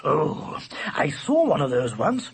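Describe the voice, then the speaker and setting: A man's voice: fairly high pitch, huge pitch swings, slow, vocal fry, husky, full character voice. A man voicing a good-natured, drowsy, shaggy storybook dog who speaks in a casual, chatty way. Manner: casual, matter-of-fact, chatty.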